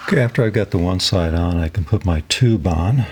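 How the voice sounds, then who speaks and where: A man narrating a hands-on tutorial as host, talking haltingly as he thinks aloud while he works. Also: low-pitched, darkish tone, a little hiss or hum. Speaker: host of a DIY electronics repair channel.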